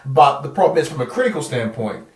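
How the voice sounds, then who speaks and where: A man's voice: medium pitch, big pitch swings, fast talker. An Australian man hosting a podcast, chatting casually as he reviews films. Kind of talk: casual chat. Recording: roomy echo, distant from the mic.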